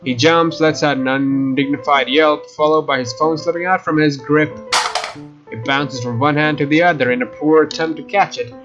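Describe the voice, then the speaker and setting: Male let's player, fairly high voice, expressive story narration with casual asides, loud music behind. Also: normal speed. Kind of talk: reading a visual novel aloud with casual asides. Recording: muffled sound under loud music. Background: loud game music.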